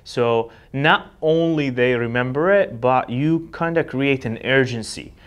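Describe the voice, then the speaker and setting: A man's voice: mid-range voice, unhurried, highs cut off. Man giving an emphatic sales training talk. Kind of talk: instructional talk with acted example dialogues. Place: indoor studio with backdrop.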